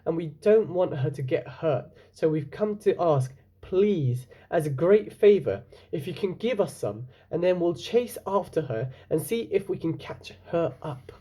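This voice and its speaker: A man voicing an eager, earnest young man who pleads quickly for help, in a storybook read-aloud. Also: fairly high pitch, lively intonation, dark tone, slightly rough, voice pushed up.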